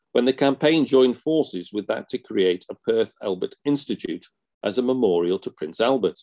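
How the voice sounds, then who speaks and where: A man, a museum collections officer, giving a measured, read seminar talk on a local society's history. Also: lowish voice, darkish tone. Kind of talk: read academic lecture.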